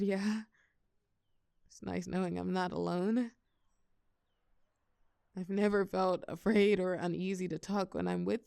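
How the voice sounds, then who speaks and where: A woman's voice: fairly low pitch, creaky, a little mellow, clear, resonant tone, dry recording. A young woman playing a shy, tender college girl confiding in a close friend, hesitant yet playful, in an intimate audio roleplay. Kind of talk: intimate scripted audio roleplay, one side of a conversation.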